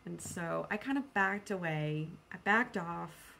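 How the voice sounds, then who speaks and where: A woman's voice: lowish voice, unhurried, gravelly, a little hiss or hum. Chatty, self-deprecating woman vlogger. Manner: animated self-quoting, repeats phrases for emphasis. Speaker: book vlogger. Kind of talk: casual, rambling video chat about personal plans.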